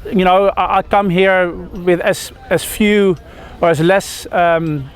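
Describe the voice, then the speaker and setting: A man's voice: high-pitched, fairly even intonation, slow, clear, resonant tone. Hesitant Dutch-raised cricketer in his thirties. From Netherlands. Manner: hesitant, lots of fillers and restarts. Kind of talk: press interview.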